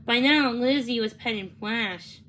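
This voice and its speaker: A woman with a high voice reads a children's story aloud. She sometimes trips on a word and goes back to fix it. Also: measured pace, fairly bright tone, a little hiss or hum. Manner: stumbles over words and corrects herself. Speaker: read-along reader.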